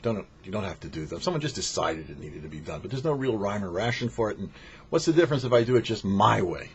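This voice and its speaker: A man's voice: fairly low pitch, expressive, quick pace. A man speaking as a rabbi giving a short religious lesson, teaching in an emphatic, didactic way with rhetorical questions. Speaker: rabbi hosting a weekly Torah portion show. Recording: dull lo-fi audio with faint noise.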